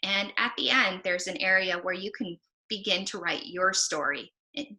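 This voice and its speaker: A woman giving a book talk for children in an emphatic, encouraging manner with deliberate phrasing. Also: mid-range voice, sharp tone, rough recording. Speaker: presenter of children's books.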